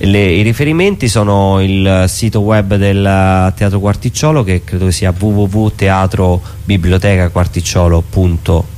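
A man speaking as a theatre performer in a casual radio interview, explaining things in a wordy, halting way. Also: deep voice, darkish tone, a little hiss or hum.

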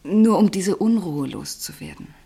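An anxious woman in a radio drama, haunted by vague dread. Her voice is rather low, husky and breathy, and she speaks in hesitant, subdued phrases. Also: expressive, a little slow, dark tone, heavily acted. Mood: uneasy, subdued. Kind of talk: German radio play acting.

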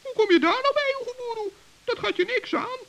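A tiny, childlike old gnome in a children's radio play. The voice is extremely high, creaky and bright, chattering with self-importance and excitable pride. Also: male voice, a little fast, exaggerated voice. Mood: indignant. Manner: chatty, self-important, stammers when flustered. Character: small excitable proud forest gnome, elderly and childlike.

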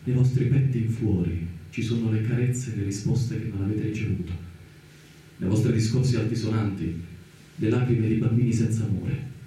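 An actor playing a condemned idealist scientist in a radio play, grave, measured, defiant yet compassionate. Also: male voice, natural voice. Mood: sorrowful, tender. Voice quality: dark, low, soft-edged. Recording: very echoey, distant, faint background noise.

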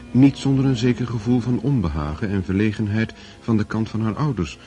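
A man with a husky voice giving measured, scripted narration for a literary radio drama. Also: lowish voice, mellow, close to an everyday voice.

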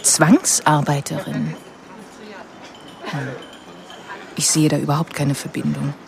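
An assured politician in a radio crime drama, dismissive in manner. She talks very slowly with a creaky edge, over faint background ambience. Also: low voice, flat delivery, a little hiss or hum, heavily acted. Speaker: actress in supporting part. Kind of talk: radio play dialogue.

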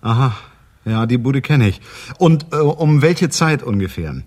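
An actor playing a dry, methodical detective in a German radio crime drama, speaking in a measured, matter-of-fact way. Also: male voice, mid-range voice, expressive, darkish tone, no room sound. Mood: businesslike. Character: dry, methodical male detective narrating his case. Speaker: private detective and narrator.

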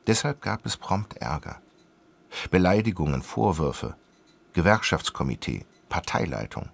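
Deep, gravelly radio drama narrator. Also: male voice, big pitch swings, unhurried, heavily acted. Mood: wry, expository. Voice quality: gravelly, raspy.

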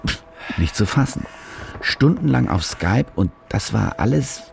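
Brooding, low, creaky-voiced trapped reporter. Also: male voice, expressive, measured pace, faint music behind, heavily acted. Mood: bitter, bewildered. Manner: muttering, brooding, talking to himself. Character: cynical, desperate, self-loathing German war reporter, trapped. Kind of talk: radio drama acting, interior monologue.

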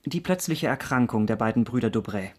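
An actor playing a courteous, persistent investigator in a period radio crime drama, asking clipped, formal questions. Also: male voice, medium pitch, animated, brisk, natural voice. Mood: probing, formal. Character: formal, persistent male investigator in 17th-century Paris. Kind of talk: German radio drama acting.

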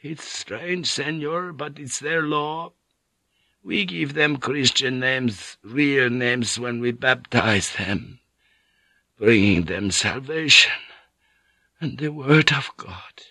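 Brief: male narrator voicing a feverish old friar, breathless ranting, bright tense tone, slow, dry close sound. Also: medium pitch, dry recording, voice pushed up, exaggerated voice. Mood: drowsy.

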